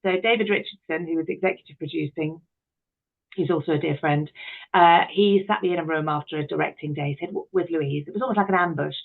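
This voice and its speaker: A woman's voice: low-pitched, brisk, phone-quality sound. A middle-aged woman, talking candidly and expressively as an interview guest. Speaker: interview guest, actress, writer and director.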